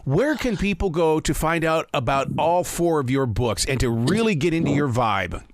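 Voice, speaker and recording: A man's voice: a little high, moderate pace, no room sound. Enthusiastic Midwestern-accented man host, dry sound. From Montana. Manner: enthusiastic, animated. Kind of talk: casual interview chat.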